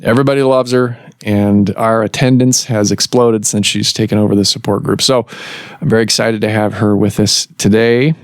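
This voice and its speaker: A man hosting a medical podcast as a doctor, explaining in a measured way with some fillers, recorded in a clinic office. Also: lowish voice, steady tone, dry recording, studio quality.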